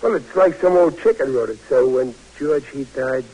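An actor playing a big, good-natured, bashful young army private, talking in a folksy, stammering way in 1940s radio drama. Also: male voice, medium pitch, moderate pace, mellow, lightly acted, poor audio. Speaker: soldier.

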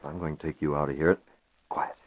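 An actor playing a masked crime-fighting young publisher in a 1940s radio drama, clipped and commanding. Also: male voice, rumbling low, flat delivery, brisk, breathy edge. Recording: dull lo-fi old radio recording.

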